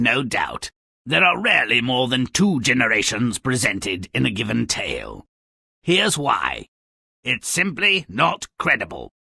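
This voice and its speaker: An actor voicing a cynical, gleefully wicked little gremlin who narrates fairy tales in a video game, with a gravelly rasp and a sarcastic, theatrical drawl. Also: male voice, medium pitch, sharp tone, no echo, studio quality, heavily acted. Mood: dry, mocking. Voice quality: raspy, gravelly.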